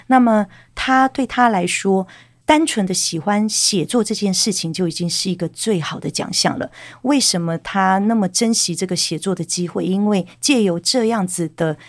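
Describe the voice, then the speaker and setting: A woman's voice: mid-range voice, dry recording. Dry-voiced woman interpreter. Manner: brisk, relays in the first person. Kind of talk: consecutive interpreting.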